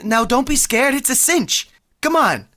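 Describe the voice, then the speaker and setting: A woman's voice: high voice, expressive, fairly bright tone, slight background noise. A woman playing a nervous teenage boy hurrying his date along in an amateur vintage radio play. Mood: urgent, flustered. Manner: hurried, pushy and jittery.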